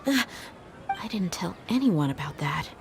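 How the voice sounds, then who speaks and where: A woman playing a calm, measured desert guardian in video game voice acting. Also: on the low side, vocal fry, over loud music.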